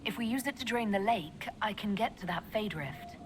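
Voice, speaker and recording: A woman plays a sharp, cold elf mage who commands an order in a fantasy game. She sounds curt and authoritative at an even mid pitch. Rain and thunder roll clearly behind her. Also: normal speed, background noise, close to an everyday voice. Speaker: Inquisitor, player character.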